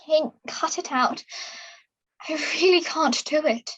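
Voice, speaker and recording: A woman performs a young daughter in a stage drama reading. Her voice is strikingly high and slow. She pleads and resists, full of distress. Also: fairly bright tone, lo-fi sound. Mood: reluctant, distressed. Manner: emotional, imploring delivery.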